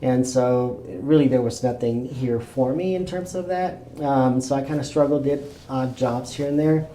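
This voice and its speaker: Man from Mexico, mellow and loose in his answers, heard from a distance in an echoey room. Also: medium pitch, normal speed, a little hiss or hum. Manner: relaxed, rambling with many fillers and restarts. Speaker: realtor. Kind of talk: casual interview answers. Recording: roomy, echoey, mic at a distance.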